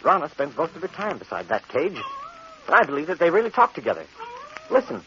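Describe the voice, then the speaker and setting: Obsessed scientist husband, 1940s radio horror: sharp, stern, dismissive American delivery, noisy vintage recording. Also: male voice, fairly high pitch, huge pitch swings, fast, highs cut off. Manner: emphatic, impatient, domineering.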